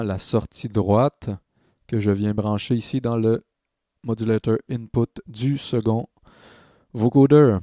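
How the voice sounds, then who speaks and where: Slow, mellow man teaching music software. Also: on the low side, no room sound, clean studio sound. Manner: hesitant, thinking aloud while demonstrating. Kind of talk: step-by-step screen tutorial narration.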